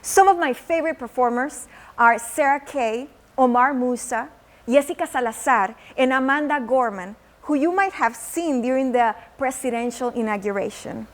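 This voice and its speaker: A woman from Mexico with a Mexican accent, performing spoken word poetry and teaching how it is made. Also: high voice. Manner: slow, measured poetic delivery with Spanish words. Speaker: teaching artist.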